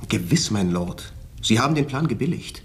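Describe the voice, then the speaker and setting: A man's voice: fairly low pitch, animated, moderate pace, natural voice. A man playing a stiff, proud, formal army captain in a German radio play. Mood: stiff, defensive. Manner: formal, dignified.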